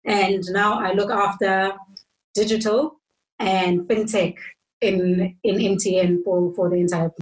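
A woman from South Africa introduces herself in a slow, deliberate manner. Her pitch stays in the middle range. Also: steady tone, rough recording. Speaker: telecom executive guest.

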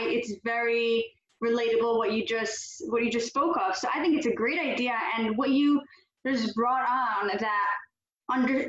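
A woman's voice: on the high side, fairly bright tone, roomy sound, lo-fi sound. A woman co-hosting a podcast conversation, responding with enthusiasm and affirmation.

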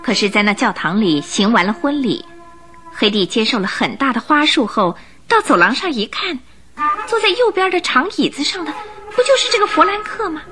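A woman narrating a radio drama in an expressive, emotive storytelling style from a script. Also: sits high, moderate pace. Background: music.

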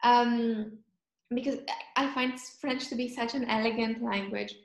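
A young woman from Cyprus, chatting in an interview and often pausing to search for words. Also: fairly high pitch, fairly even intonation, reverberant. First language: Greek. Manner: thoughtful, searching for words, frequent ums. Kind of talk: casual chat.

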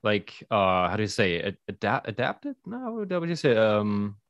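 A man's voice: fairly low pitch, very animated, moderate pace, fairly bright tone, dry recording, dull audio. A man hosting a podcast, asking questions casually and often searching for words. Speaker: podcast host.

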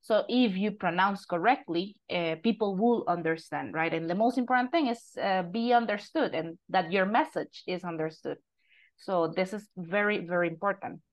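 A woman's voice: medium pitch, moderate pace. Spanish-accented female host, encouraging, interview tone. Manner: encouraging, asks questions. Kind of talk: casual interview.

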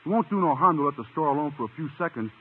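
In a muffled, echoing old radio recording, a high-pitched teenage boy. He is earnest and slow-witted, with gawky, naive speech and a husky edge, done as 1950s radio comedy. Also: lively intonation. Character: dim, earnest teenage boy shop helper.

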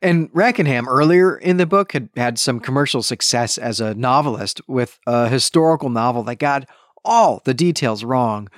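A man's voice: medium pitch, normal speed, no room sound. Man explaining literature, close dry sound. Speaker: podcast host. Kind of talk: literary discussion, explanatory book commentary.

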